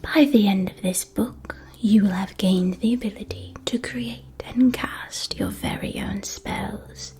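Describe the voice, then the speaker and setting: Slow-whispering witch-school shopkeeper played by a woman. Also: medium pitch, a little mellow. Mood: enchanting, reverent. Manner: slow, genteel, old-fashioned wording. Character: courteous, doting female shopkeeper of witch school books. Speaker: ASMR roleplay performer. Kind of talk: whispered ASMR roleplay.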